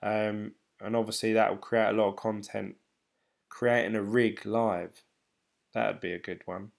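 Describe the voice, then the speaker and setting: A British man in his thirties, a channel host, chatting casually and hesitantly to viewers on a late-night live stream, tired and a little croaky. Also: lowish voice, level tone, moderate pace. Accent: British English. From United Kingdom. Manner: rambling, hesitant, many ums and restarts. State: tired, voice getting croaky and dry. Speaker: crypto channel host reading live chat.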